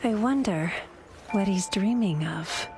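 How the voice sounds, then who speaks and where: An actress playing a solemn, thoughtful young travelling companion in a video game. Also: female voice, medium pitch, animated, slow pace, darkish tone, faint music behind. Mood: relieved, gentle. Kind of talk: video game dialogue acting.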